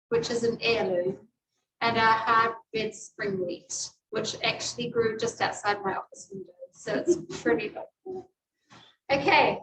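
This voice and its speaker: A woman's voice: on the high side, slight background noise. A woman with a New Zealand accent teaching a live cooking class, chatting as she explains each step while she cooks in a kitchen. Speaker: cooking instructor. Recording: echoey kitchen, distant mic.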